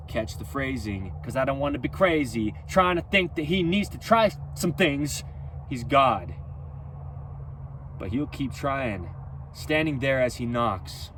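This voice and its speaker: A man's voice: medium pitch, expressive, a little slow, slight background noise. Emphatic man freestyling preachy rhymes. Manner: rhythmic rhyming, preachy and emphatic; loud, near-yelling delivery. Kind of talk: spoken-word freestyle rap.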